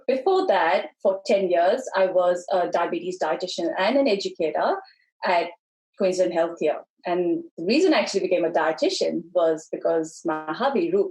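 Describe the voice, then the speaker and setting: A woman's voice: fairly low pitch, darkish tone, low-quality recording. A woman in her thirties, originally from India, chatting as a podcast guest in a loose, rambling way with frequent ums and restarts. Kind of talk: casual interview chat.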